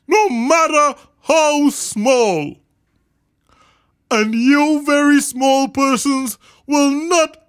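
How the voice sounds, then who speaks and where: A woman voicing an earnest, kindhearted male elephant in a storybook reading, in a squeaky high put-on voice. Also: fairly bright tone, heavily acted. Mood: pleading. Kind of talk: storybook read-aloud.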